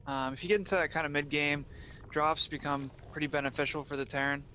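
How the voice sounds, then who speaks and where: A young man from Pennsylvania giving steady, explanatory play-by-play commentary on a strategy game. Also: fairly high pitch, quick pace. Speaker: play-by-play caster. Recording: faint background noise.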